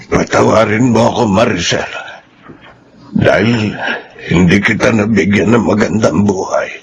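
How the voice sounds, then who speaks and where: A man's voice: fairly low pitch, unhurried, a little hiss or hum. A man playing a sickly, apologetic old grandfather in a radio drama. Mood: remorseful.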